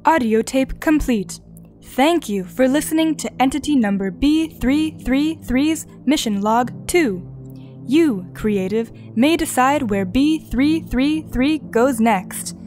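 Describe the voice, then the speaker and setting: A woman's voice: high voice, animated, a little mellow, soft background music. A woman playing a formal, composed guide who reads scripted announcements and credits in an audio drama. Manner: formal, measured.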